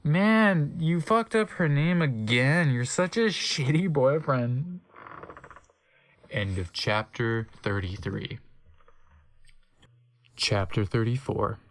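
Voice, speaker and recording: A narrator giving a theatrical, comic dramatic reading of fan fiction, breaking off for deadpan asides. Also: mid-range voice, takes it slowly, pauses often.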